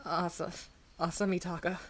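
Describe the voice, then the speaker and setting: A woman voicing an awkward, guarded high school girl in a comic fan dub, hesitant, stammering and easily flustered. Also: level tone, moderate pace, close to an everyday voice.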